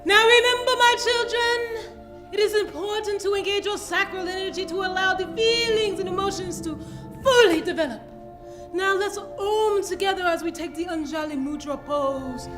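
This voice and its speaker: Woman playing a very high-pitched, airy yoga guru. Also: music in the background. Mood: serene, patronizing. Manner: airy, pompous, drawn-out guru talk. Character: serene, condescending new-age yoga instructor woman. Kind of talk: comedy sketch acting.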